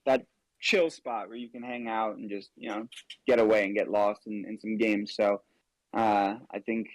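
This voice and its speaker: A man's voice: on the low side, little pitch movement, moderate pace, no echo, poor audio. A man hosting a casual interview, friendly and rambling with many fillers. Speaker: interview host.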